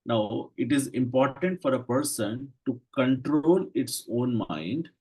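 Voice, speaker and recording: Husky-voiced man lecturing in short phrases. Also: mid-range voice, expressive, a little mellow, poor audio. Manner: short phrases with pauses for the interpreter. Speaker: Ayurveda lecturer. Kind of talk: lecture in English, interpreted consecutively.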